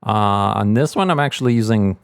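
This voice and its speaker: A man's voice: on the low side, a little fast, clear, resonant tone, dry recording, clean studio sound. A man giving a casual sound-mixing tutorial, thinking aloud informally as he demonstrates.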